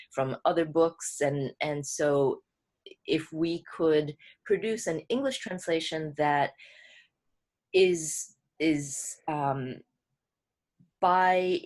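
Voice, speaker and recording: Low-voiced woman talking thoughtfully. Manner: thoughtful, halting, many restarts and fillers. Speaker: co-translator speaking as a guest. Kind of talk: online book club talk.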